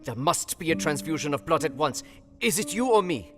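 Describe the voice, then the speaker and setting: Audio drama casting: older doctor, kindly yet urgent, solemn broken English, highly animated delivery in a reverberant hall. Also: male voice, fairly quick. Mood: urgent, grave. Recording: long hall reverb over music.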